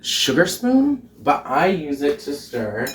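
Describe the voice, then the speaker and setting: A man's voice: a little high, huge pitch swings, takes it slowly, far from the mic, background noise. A young man in drag hosting a home cooking vlog, bubbly and giggly, swearing often as he tastes his food. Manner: bubbly, giggly, swears often, puts on a mock Irish lilt. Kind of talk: casual cooking vlog chat. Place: home kitchen, indoors. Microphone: camera mic from a few feet away. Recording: roomy kitchen echo, off-mic.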